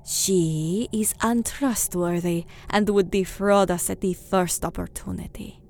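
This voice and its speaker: A woman's voice: on the low side, steady tone, measured pace, darkish tone. A woman playing a proud, stern alien commander in an audio drama, speaking formally in clipped, cold, haughty phrases. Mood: contemptuous.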